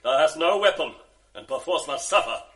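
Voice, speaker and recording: A grave, commanding nobleman in a radio play. His voice moves lively up and down as he issues orders. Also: a little high, normal speed. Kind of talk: 1980s radio drama acting.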